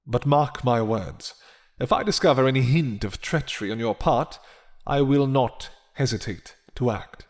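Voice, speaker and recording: A man voicing a proud vampire lord in a dramatic roleplay, courtly, measured and threatening. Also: mid-range voice, lively intonation, close to an everyday voice. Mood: formal, guarded. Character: proud, menacing, smooth-talking male vampire lord.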